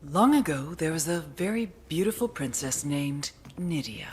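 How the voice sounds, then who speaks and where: A woman acting a tough, foul-mouthed intelligence officer in a video game cutscene, with a deliberate, hard-edged, menacing delivery. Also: deep voice, expressive, a little hiss or hum. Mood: cold, menacing.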